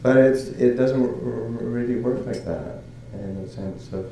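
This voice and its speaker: Halting older monk, slow and echoey. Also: male voice, medium pitch, dark tone, a little hiss or hum. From Canada. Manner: halting, many fillers and restarts, chuckles. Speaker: Buddhist monk. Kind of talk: dharma talk.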